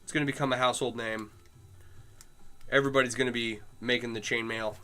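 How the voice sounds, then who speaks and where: A man's voice: medium pitch, fairly even intonation, quick pace, pushed and bright, clean tone. A middle-aged man chatting casually on a livestream while he works on chainmail, greeting and answering his viewers. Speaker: chainmail maker streaming live.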